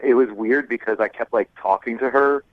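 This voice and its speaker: A man's voice: mid-range voice, a little fast, no room sound. Casual man calling in, slightly muffled. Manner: jokey, informal. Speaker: caller. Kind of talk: casual anecdote telling. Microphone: phone. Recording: slightly dull phone line.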